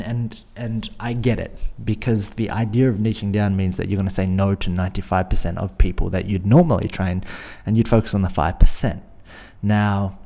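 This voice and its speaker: Australian male podcast host, low gravelly voice, mellow tone, earnest and repetitive coaching delivery. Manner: earnest, repeats words, restarts phrases. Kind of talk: casual solo podcast talk.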